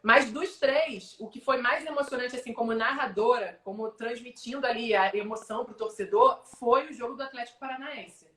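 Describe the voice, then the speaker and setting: A young adult Brazilian woman, a sports announcer, telling animated stories in a casual live-stream interview. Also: on the high side, reverberant. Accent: Brazilian Portuguese. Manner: animated storyteller, quick asides. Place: home, online video call.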